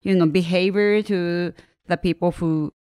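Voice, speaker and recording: A woman's voice: on the low side, fairly even intonation, a little slow, dark tone, clear, resonant tone, no room sound, studio quality. A woman with a Japanese accent, speaking English as a guest in casual conversation and carefully searching for her words. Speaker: podcast guest.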